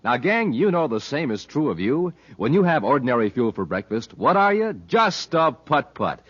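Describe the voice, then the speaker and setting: Animated American radio announcer, muffled vintage sound. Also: male voice, mid-range voice, moderate pace, slightly rough. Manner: emphatic, punchy. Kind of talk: 1950s radio announcing, ad pitch and dramatic narration. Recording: muffled, hissy old 1950s radio recording.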